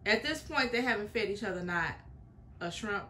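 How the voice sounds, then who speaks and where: A woman's voice: medium pitch, lively intonation, moderate pace, sharp tone, slight background noise. A young woman hosting a reaction video, chatting frankly and opinionated to her viewers, with in her speech. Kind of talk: casual reaction commentary.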